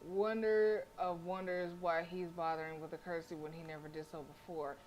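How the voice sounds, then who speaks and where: A woman's voice: fairly low pitch, flat delivery, brisk, darkish tone, slight background noise, low-quality recording. A woman livestreamer reading a visual novel aloud dramatically, with casual asides and stumbles she corrects as she goes.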